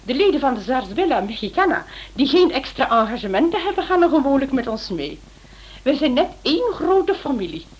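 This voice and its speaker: An actress playing a charming, insistent Spanish club hostess in a radio play, effusive and persuasive, with a husky voice. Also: female voice, high-pitched, very animated, fairly quick, dull audio, full character voice. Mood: gracious.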